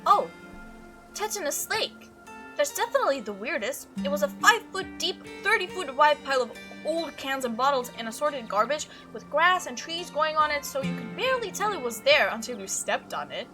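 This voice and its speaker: A woman performs an excitable young female monster hunter from a visual novel. Her voice is high and very lively in pitch. She gushes and chatters through her tales, with music playing behind her. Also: normal speed. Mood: enthusiastic recollection. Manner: animated, gushing storytelling. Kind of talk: visual novel character read-aloud.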